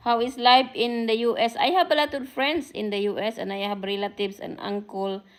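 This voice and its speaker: A woman's voice: on the high side, steady tone. A middle-aged woman from the Philippines with a Filipino accent, chatting live with viewers, greeting them by name and mixing in Filipino phrases. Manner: code-switches, greets commenters by name, self-described fast speaker. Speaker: vlogger hosting a livestream. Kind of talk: casual live chat with viewers.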